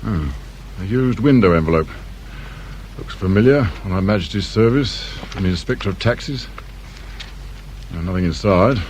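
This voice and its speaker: An actor playing a gruff, world-weary older police inspector with a British accent, speaking drily and sardonically in radio drama style. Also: male voice, low voice, expressive, normal speed, pauses often, a little mellow. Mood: sardonic, brisk. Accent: British English. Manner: dry, grumbling, clipped questioning. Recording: hissy old radio drama recording.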